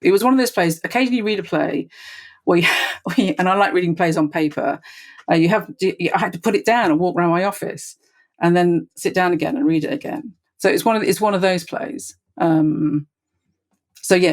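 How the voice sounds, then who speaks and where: A woman theater professional talking casually in an interview, halting and correcting herself. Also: fairly low pitch. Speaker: theater development director, interview guest.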